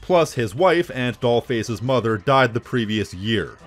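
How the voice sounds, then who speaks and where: A man's voice: medium pitch, sing-song, a little slow, over music. A man hosting a video essay on games, giving casual scripted commentary in a wry, conversational manner.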